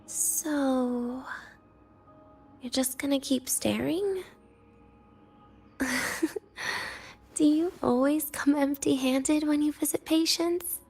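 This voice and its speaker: A weary young woman in a video game, her voice fairly high and breathy. She speaks languidly, with slow, guarded, teasing cynicism that often sinks toward a whisper. Music plays behind her. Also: darkish tone. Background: background music.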